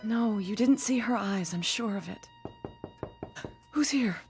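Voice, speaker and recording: An actress playing an anxious young heiress and dutiful daughter in a radio drama, earnest and hesitant. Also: female voice, medium pitch, unhurried, soft background music, close to an everyday voice. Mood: frightened. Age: young adult.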